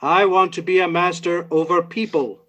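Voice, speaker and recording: Man acting a defiant, higher-voiced rebel robot. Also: steady tone, moderate pace, pushed and bright, lo-fi sound. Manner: curt, blunt, insistent. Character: defiant male humanoid robot, cold, contemptuous, rebellious. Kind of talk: radio drama acting.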